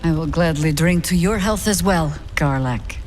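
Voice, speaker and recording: Casting: actress for old veteran druid heroine, lowish voice, measured authority, wary edge, fantasy game. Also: female voice, darkish tone, slight background noise, lightly acted. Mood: gracious, knowing. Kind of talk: fantasy video game dialogue.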